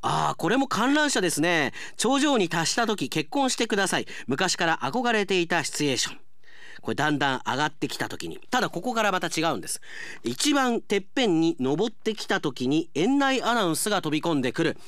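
A man hosting a radio show as announcer, talking conversationally and reading out listener mail in an animated way. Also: fairly high pitch, fairly quick, no room sound, clean studio sound.

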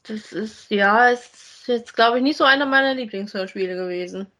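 A woman's voice: on the high side, normal speed, pushed and bright, rough recording. A woman, chatting casually as a podcast co-host about audio dramas.

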